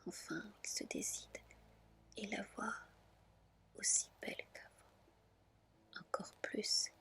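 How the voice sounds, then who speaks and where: A woman storyteller whispers a fairy tale in ASMR style. Her pace is slow and deliberate. The hushed voice is gentle yet crisp and bright at the edges. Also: mid-range voice, steady tone.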